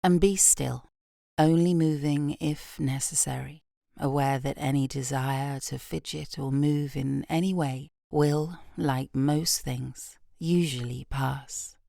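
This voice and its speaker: A British woman guiding a yoga nidra meditation in a soft, gentle, unhurried voice with long pauses, breathy at phrase ends. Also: a bass voice, steady tone, dry recording, studio quality. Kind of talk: guided meditation, slow and soothing.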